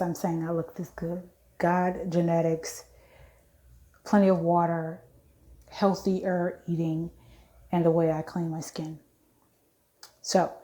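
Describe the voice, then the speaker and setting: A woman nearing fifty talks through her face-cleansing routine in a low, mellow voice. She speaks evenly without much rise and fall, chatty and frank, stopping to correct herself mid-sentence. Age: 40s. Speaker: beauty vlogger. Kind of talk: casual skincare walkthrough.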